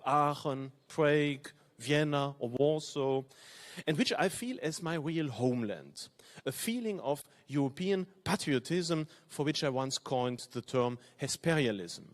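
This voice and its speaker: A man from Belgium whose first languages are German and French, giving a measured, formal opening address as panel chair. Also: on the high side. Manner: measured, formal, reads from a text. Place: conference hall.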